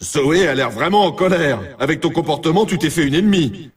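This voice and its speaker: A man playing an excitable race announcer in a snowboarding video game, emphatic and talking straight to the player in a familiar, needling way. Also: fairly high pitch, brisk, breathy edge, recorded from a distance. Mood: teasing warning. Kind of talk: hyped sports commentary for a video game.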